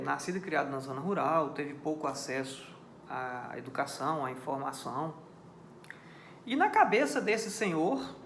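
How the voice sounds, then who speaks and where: A man's voice: sits high, a bit bright, slight background noise. Man lecturing in an echoey room. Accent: Brazilian Portuguese. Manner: didactic, repeats key points, checks understanding with rhetorical questions. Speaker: law lecturer. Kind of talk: recorded lecture on criminal law.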